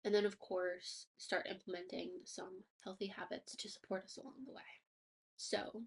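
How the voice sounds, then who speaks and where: A woman's voice: mid-range voice, little pitch movement, moderate pace, slight background noise. A woman hosting a personal finance podcast, sounding a bit stuffy and nasal from allergies, upbeat and motivational with casual fillers. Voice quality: slightly nasal. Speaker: podcast host. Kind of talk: scripted podcast monologue.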